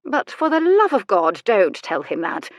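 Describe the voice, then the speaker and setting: A woman voicing an elderly aristocratic lady, sharp-tongued, theatrical and mischievous, in a storybook reading. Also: mid-range voice, wildly swooping pitch, unhurried, no room sound, clean studio sound, voice pushed up. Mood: mischievous, scornful. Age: older. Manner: haughty, theatrical, dryly teasing.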